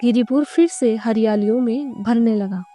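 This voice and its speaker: Female narrator for cartoon fables: warm dark tone, slightly high register, scripted storytelling, faint music bed. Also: normal speed.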